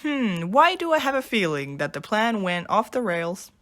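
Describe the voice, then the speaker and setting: Woman acting chatty welcoming journalist gal, real bright voice, super animated, audio drama. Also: mid-range voice, moderate pace. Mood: curious.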